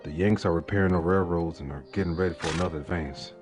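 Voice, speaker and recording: A man playing a grim soldier reporting war news in a story, hushed, halting and matter-of-fact. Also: low-pitched, lightly acted. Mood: grave warning. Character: gray-clad horseman soldier, grimly reporting war news. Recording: music under the voice.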